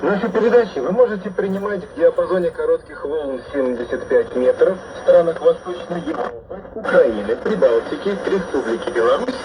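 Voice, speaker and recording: A man's voice: a little high, lively intonation, brisk, few pauses. A man delivering a scripted station announcement, recorded from a shortwave pirate radio broadcast. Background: radio noise.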